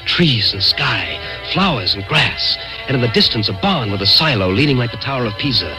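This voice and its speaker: An actor playing an earnest, bewildered man telling his uncanny adventure in an old-time radio drama, dramatic and halting when nervous. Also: mid-range voice, very animated, close to an everyday voice, poor audio. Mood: awed, suspenseful. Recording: old-time radio recording with music behind.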